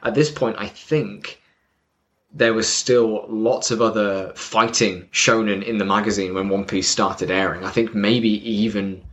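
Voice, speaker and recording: A man's voice: lowish voice, fairly even intonation, measured pace, fairly bright tone. A man in casual, informal conversation, explaining things as a co-host, with some wry humour, recorded on a built-in microphone. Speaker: podcast co-host, manga fan explaining to newcomer. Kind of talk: casual chat.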